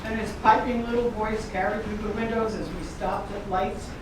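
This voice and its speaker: A woman playing a wistful, tender grieving mother in a staged play reading, speaking slowly and deliberately. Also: medium pitch, fairly even intonation, natural voice. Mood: fond remembering. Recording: echoey room, far mic, clear background noise.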